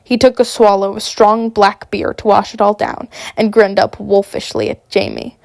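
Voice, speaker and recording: Sick woman with a gravelly, dry voice. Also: mid-range voice, flat delivery, normal speed, a little mellow, no echo. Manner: casual, rambling. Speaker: amateur audiobook reader. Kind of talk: audiobook narration.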